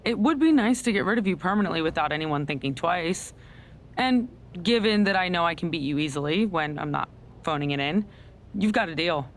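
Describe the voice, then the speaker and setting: A woman's voice: on the low side, lively intonation, a little fast, slight background noise. A woman playing a smug, scheming female wrestling general manager, a villain in a video game cutscene, with a mocking, condescending delivery.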